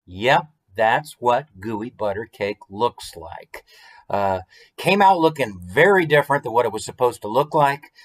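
A man in his forties with an American accent, hosting a story time in an expressive, conversational way with chatty asides. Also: medium pitch, unhurried. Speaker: author reading his picture book. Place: small room at home, indoors.

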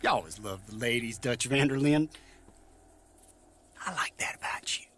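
A man's voice: medium pitch, lively intonation, normal speed, fairly bright tone, slightly rough. An actor playing a sly rival gang boss in a Western game, mocking and needling as he talks. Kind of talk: game cutscene dialogue.

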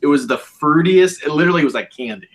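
A man's voice: on the high side, animated, fast. A man in his thirties, an effusive, emphatic guest reviewer chatting casually on a video call from home. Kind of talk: casual chat.

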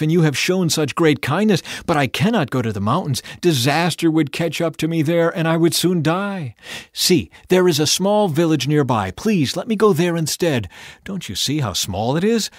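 A reader voicing a desperate man who begs and bargains, his voice raised and strained high. The pitch leaps around with urgency. The recording is dry and close. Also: animated, moderate pace, dry recording. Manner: frantic, begging. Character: desperate man begging a crowd.

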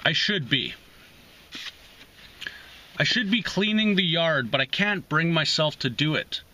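A man in his thirties reading poetry aloud with deliberate pauses between short phrases, as host of a reading series, recorded inside a parked car. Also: a little high, animated, bright, forceful tone, dull audio. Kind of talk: slow, emphatic poetry reading.

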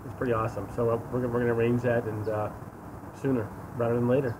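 Male, 60s, American. Earnest, emphatic testimony in conversational style, shot outdoors over clear traffic noise. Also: medium pitch, little pitch movement, fast talker. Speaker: interview guest giving testimony. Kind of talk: casual personal testimony. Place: outdoors against a sunlit wall. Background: vehicle and street noise.

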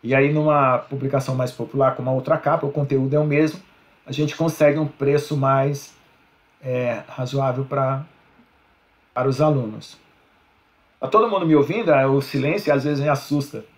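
A man's voice: mid-range voice, moderate pace. A middle-aged Brazilian man with a Brazilian Portuguese accent gives an online slide lecture. He explains step by step, often adds "né?" and corrects himself mid-word. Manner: explanatory, frequent "né?" tags, self-corrections. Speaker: university lecturer. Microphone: video call.

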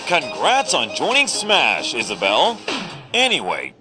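Man acting a very animated comic-dub guy. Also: mid-range voice, unhurried. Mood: casually probing. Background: music.

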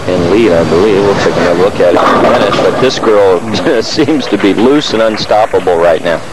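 A man's voice: low voice, fairly quick. A man giving analytical, explanatory live color commentary on a bowling match. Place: bowling alley. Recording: very noisy TV audio from bowling alley.

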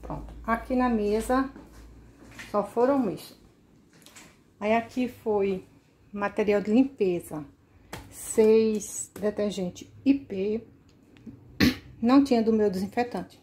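Slow-talking woman listing groceries. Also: medium pitch, dark tone. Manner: slow, listing items one by one. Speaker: vlogger. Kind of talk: casual grocery haul showing. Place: kitchen at home, indoors.

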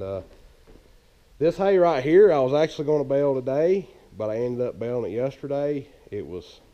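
A man's voice: mid-range voice, dull audio. A man, chatting casually and rambling with many fillers, filmed on a handheld camera in a hay barn. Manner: rambling, easygoing, filler-heavy. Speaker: farmer vlogger. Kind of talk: casual farm vlog. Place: open hay barn, indoors. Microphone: handheld action camera at arm's length.

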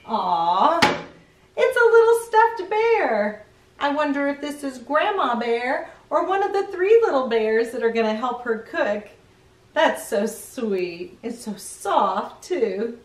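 A middle-aged woman hosting a children's read-aloud, expressive, reading picture-book lines and chatting to young viewers with questions. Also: high-pitched, slow pace. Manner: expressive, addressing small children with questions and exclamations. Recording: roomy echo, distant microphone.

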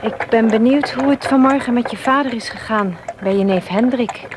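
A woman's voice: a little high, moderate pace, background noise, close to an everyday voice. An actress playing a kind woman who runs a nursery school, offering help, in a 1970s radio play. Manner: gentle, reassuring.

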